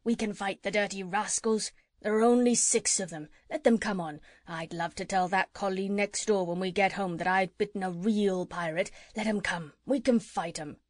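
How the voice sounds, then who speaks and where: A woman's voice: mid-range voice, steady tone, moderate pace, no room sound, studio quality, lightly acted. A woman playing a scrappy, defiant dog. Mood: eager. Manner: eager, defiant. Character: brave, scrappy male dog.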